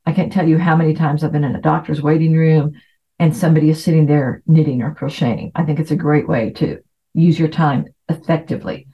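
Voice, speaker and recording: A woman's voice: deep voice, fairly even intonation, fairly quick. Chatty woman, dull lo-fi recording. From Latin America. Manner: chatty, anecdotal. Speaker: podcast host. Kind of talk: informal self-help talk to listeners.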